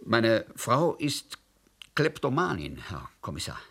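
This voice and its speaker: An actor playing a hesitant, halting diplomat under questioning in a radio drama. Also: male voice, mid-range voice, sing-song, vocal fry, fairly bright tone, slightly rough, full character voice. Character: nervous, dignified male diplomat. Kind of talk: German radio drama acting.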